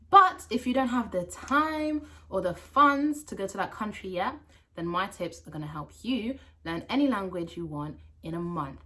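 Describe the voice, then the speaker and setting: A young woman from France with a London accent and French as her first language, giving language-learning tips to camera in a chatty, animated way. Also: mid-range voice, a little hiss or hum. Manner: chatty and animated, with playful asides. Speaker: language vlogger.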